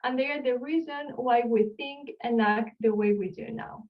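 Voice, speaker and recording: Spanish-accented woman, friendly explainer on a video call, slightly high voice, dull audio from a distance. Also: normal speed. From Spain, Barcelona. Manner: friendly, explanatory, asks the audience questions. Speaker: archaeologist giving an online lecture. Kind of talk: online lecture.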